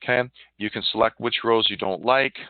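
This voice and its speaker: A man with a fairly low voice walks listeners through an online software demo. He explains informally and haltingly, and the sound is thin and phone-like, with no room around it. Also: no room sound. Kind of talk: live online product demonstration, walkthrough. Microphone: phone or web conference call. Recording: narrow phone-like line.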